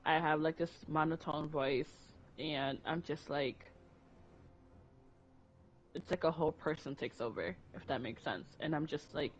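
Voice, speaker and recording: A woman's voice: sits low, a little slow. A woman co-hosting a casual chat, talking candidly and rambling with many restarts. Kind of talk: casual conversation. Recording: dull lo-fi audio with faint music.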